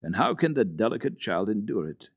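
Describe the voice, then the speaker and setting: A man's voice: fairly low pitch, big pitch swings, brisk, gravelly, husky, no room sound, narrow, tinny audio, heavily acted. A man voicing an earnest village pastor in a storybook reading, admonishing yet friendly, with a hearty, preaching manner. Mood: friendly, pleading.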